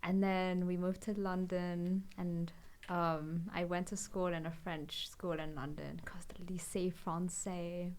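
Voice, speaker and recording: A woman's voice: fairly low pitch, flat delivery, a little slow, hardly any pauses, darkish tone. A young woman with an American accent chatting casually as a podcast crew member, giggly and self-deprecating. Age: young adult. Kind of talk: casual group chat.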